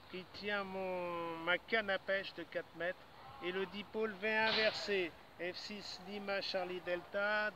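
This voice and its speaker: Older Frenchman, high voice, casual ham radio operator, dull outdoor recording with clear background noise. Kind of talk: casual radio contact chat. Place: outdoors in a grassy park. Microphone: camera a few metres away, holding radio handset.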